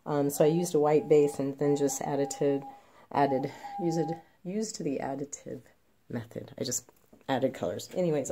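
A woman with a low, gravelly, mellow voice, stuffy from a cold, talking through a paint pour in a relaxed, chatty way. She is recorded on a phone at a home craft table, with a faint hiss underneath. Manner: chatty, self-deprecating asides. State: has a cold, snuffly. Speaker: acrylic pour artist. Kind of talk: casual tutorial chat while working. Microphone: phone camera overhead.

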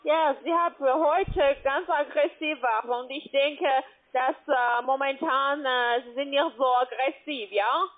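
High, slow foreign-accented woman on phone. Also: steady tone. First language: not German. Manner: halting, limited German. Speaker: call-centre caller. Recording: tinny, distant phone line.

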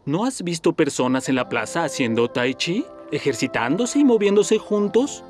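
A performer voicing a calm, helpful, instructive talking steam locomotive in a Latin American Spanish cartoon dub. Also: medium pitch, normal speed, soft background music, natural voice. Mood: patient, instructive. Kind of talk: dubbed children's cartoon acting.

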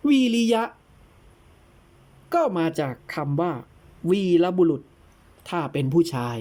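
A woman plays a patient old-fashioned male teacher in a storytelling lesson. The delivery is very unhurried and didactic, with a slightly low pitch for a female voice and careful, probing explanations. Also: dark tone. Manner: deliberate, didactic, breaks words into syllables. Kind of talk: dramatized teaching dialogue in an audio tale.

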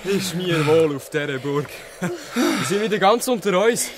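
Man as a high-voiced cheeky gang boy. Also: animated, normal speed, very noisy. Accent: Swiss German. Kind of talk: radio play acting.

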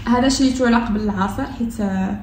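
A young woman in her twenties with a Moroccan accent, chatting casually through a home vlog, relaxed and full of hesitations. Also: fairly high pitch, rapid-fire. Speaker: vlogger. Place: apartment kitchen, indoors. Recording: echoey room, distant mic, noisy background.

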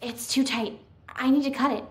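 Woman playing high-voiced determined rescuer. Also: steady tone, moderate pace, natural voice. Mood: urgent. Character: determined young female rescuer, hushed and urgent. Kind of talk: audio drama acting.

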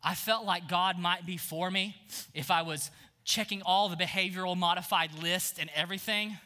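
A man in his thirties preaching an energetic sermon on a church stage, swinging from hushed lines to shouts. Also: high-pitched, moderate pace. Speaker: pastor.

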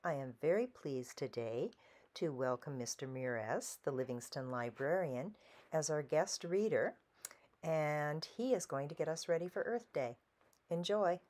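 Female host, middle-aged, low register, delivering a prepared welcome for a school reading. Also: animated, measured pace, a little mellow. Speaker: school principal, series host. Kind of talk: scripted welcome introduction.

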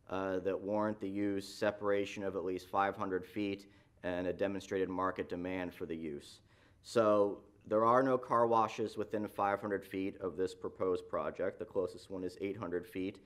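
A man on city planning staff walking a zoning board through a staff report, explaining patiently with frequent fillers and restarts. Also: low-pitched. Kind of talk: staff report at a public meeting. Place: council chamber.

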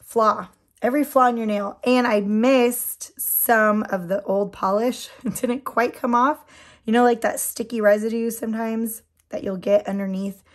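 A woman's voice: a little high, fairly even intonation, unhurried. A woman chatting casually while doing her nails, her voice a bit worn from losing it, rambling with frequent ums and little laughs. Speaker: nail product seller and channel host. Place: indoors at a tabletop.

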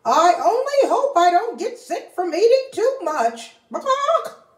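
Brief: a panicky little storybook hen, shrill clucking voice, lively swoops. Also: female voice, exaggerated voice. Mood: fretful. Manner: anxious, drawn-out exclamations. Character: fretful nervous hen, small female, endlessly worried. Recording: echoey room.

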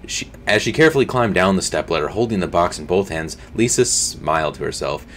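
Deep-voiced young man reading aloud. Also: lively intonation, brisk, slight background noise. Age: young adult. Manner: reads with slips and restarts, drops to quiet asides. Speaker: streamer reading a story. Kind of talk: reading a scary story aloud with comments. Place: home streaming room, indoors.

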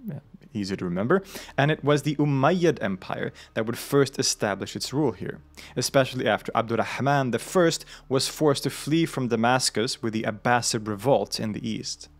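Male narrator, mid-range voice, measured explanatory documentary read with light asides. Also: medium pitch. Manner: measured, informative, with the odd aside. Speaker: host of a religion history channel.

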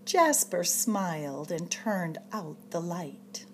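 A woman reads a children's picture book aloud with a lively, swooping voice. She takes her time and stretches phrases for suspense like a practiced storyteller. There is some faint noise in the recording. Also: lowish voice. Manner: expressive, dramatic storyteller delivery with drawn-out suspenseful phrases. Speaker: storytime reader at a public library.

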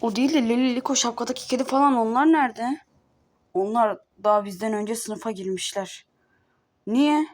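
Playful woman with a high voice. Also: normal speed, darkish tone. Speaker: creator voicing a fan cartoon. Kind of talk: casual fan voice acting.

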